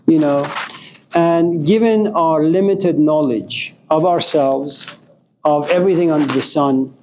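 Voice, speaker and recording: A man's voice: on the high side, a little slow, darkish tone, a little hiss or hum, rough recording. A middle-aged man from Iran gives an informal philosophy lecture, passionate and emphatic, swearing at times.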